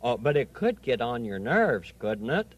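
A man's voice: mid-range voice, big pitch swings, measured pace. A man playing a fussy, easily bothered male owl puppet in a children's show, with a folksy, insistent manner. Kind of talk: children's television puppet play.

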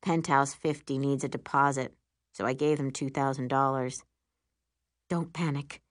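A woman voicing a cool, easygoing teenage boyfriend with a deep, manly tone in an audiobook. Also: fairly even intonation, measured pace, dry recording, studio quality. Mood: calm, reassuring. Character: laid-back, deep-voiced teenage boyfriend, reassuring.

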